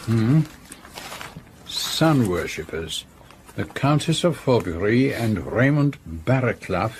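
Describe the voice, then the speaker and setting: An actor playing a courteous, fastidious detective in a radio drama, measured and precise, with a French-speaking Belgian accent. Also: male voice, lowish voice, very animated, slight background noise, heavily acted. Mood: courteous, musing. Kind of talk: radio drama dialogue and narration.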